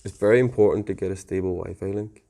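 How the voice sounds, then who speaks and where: Low-voiced man casually explaining tech. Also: fairly even intonation, fast talker, vocal fry, warm tone. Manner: informal, plain-spoken explaining. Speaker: technical presenter explaining network setup. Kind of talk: casual conversational tutorial.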